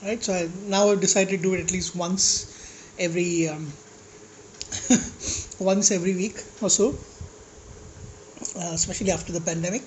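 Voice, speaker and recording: A man with a slightly high-pitched voice, ranting casually to his vlog audience in a rambling, blunt way and swearing at critics. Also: fairly even intonation, normal speed, pauses often, a little hiss or hum, low-quality recording. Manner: rambling, with restarts and asides. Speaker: food vlogger. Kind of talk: casual rant to camera while eating.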